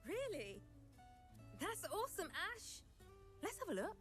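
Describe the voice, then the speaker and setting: Upbeat young sailor girl for a game, high and very animated. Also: a little slow, faint music behind, full character voice. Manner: perky, nautical turns of phrase. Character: cheerful, eager young female student with sailor talk.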